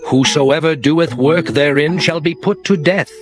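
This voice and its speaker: An actor playing Moses, a stern aged prophet, declaiming commandments in a solemn, measured way over music. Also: male voice, fairly high pitch, lightly acted. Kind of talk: dramatized scripture reading.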